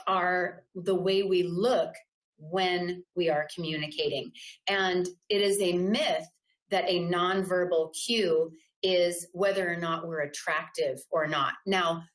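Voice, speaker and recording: A middle-aged woman from the American South presenting a live webinar, loud and enthusiastic, talking in a conversational way and often checking in with "right?". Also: lowish voice, takes it slowly, poor audio. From Southern United States. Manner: loud voice that carries.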